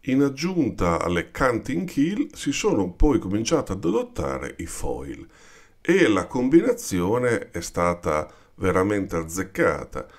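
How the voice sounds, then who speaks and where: A man with an Italian accent, explaining yacht design in an unhurried, informal voice-over over pictures. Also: lowish voice, big pitch swings. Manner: unhurried and explanatory, addresses viewers with "vedete".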